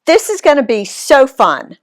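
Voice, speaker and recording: A woman reading her own family's travel story aloud in the first person, playing up key words. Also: a little slow. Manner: expressive reading, pitch swinging on key words.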